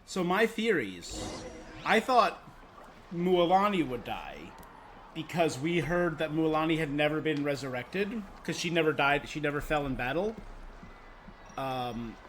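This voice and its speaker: A man's voice: on the high side, animated, a little slow, fairly bright tone, a little hiss or hum. A man giving casual livestream commentary on a game, thinking aloud and playfully reacting.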